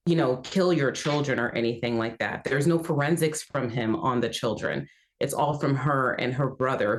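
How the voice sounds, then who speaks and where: A woman giving assertive, articulate legal commentary as an analyst on a panel. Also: rumbling low. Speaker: legal analyst, defense perspective.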